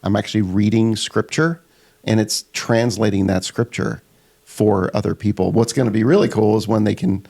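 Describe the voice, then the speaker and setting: A man whose first language is German, co-hosting a casual podcast in a relaxed, agreeable way. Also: on the low side, normal speed, vocal fry. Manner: relaxed, agreeable, with many short affirmations. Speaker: podcast co-host.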